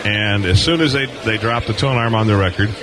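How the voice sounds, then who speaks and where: A man's voice: on the low side, a little fast, crowd noise. A middle-aged or older man hosting a casual live radio interview, chatting and reminiscing. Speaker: radio show host. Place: convention hall booth. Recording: noisy live event floor broadcast. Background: busy convention floor noise.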